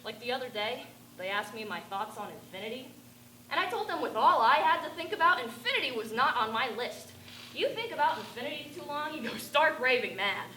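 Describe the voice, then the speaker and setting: A teenage girl playing an eccentric, rambling bag lady who talks to aliens, with a raspy voice and a ranting, confiding stage-monologue delivery. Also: high-pitched, animated, fairly quick, bright, forceful tone. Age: teens. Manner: ranting, confiding, addressing passers-by. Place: stage performance. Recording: echoey room with background noise.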